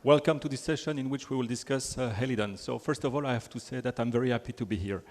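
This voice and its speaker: A man's voice: mid-range voice, fast talker. A man from Belgium with a French accent, giving a chatty technical conference talk full of fillers and restarts. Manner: chatty, self-deprecating, frequent fillers and restarts. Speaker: conference speaker. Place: large conference hall. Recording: hall with long reverb.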